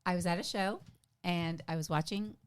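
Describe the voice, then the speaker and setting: A woman's voice: lowish voice, normal speed, clear, resonant tone. A middle-aged woman telling stories casually as a podcast guest, chatty and emphatic with an enthusiastic way of talking. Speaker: podcast guest, stand-up comedian. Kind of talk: casual conversational storytelling.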